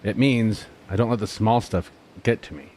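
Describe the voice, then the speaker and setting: A man's voice: fairly low pitch, normal speed, a little mellow, a little hiss or hum, natural voice. A man playing a stoic, dismissive police officer who just follows orders, in a naturalistic audio drama. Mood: unbothered.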